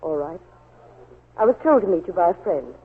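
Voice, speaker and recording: A poised, mysterious young woman in a vintage radio play. She is cool and coy, with a husky edge, heard through a thin, scratchy 1940s recording. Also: fairly low pitch, animated, normal speed, phone-quality sound. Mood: coy, confiding.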